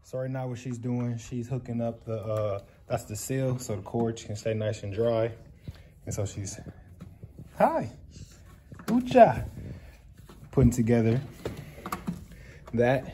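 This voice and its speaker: A man's voice: mid-range voice, animated, slow pace, long pauses, mellow. A man chatting casually as a home vlog host, playful and encouraging with his family. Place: at home, indoors and out in the yard. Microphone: handheld phone. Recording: clearly noisy handheld phone recording.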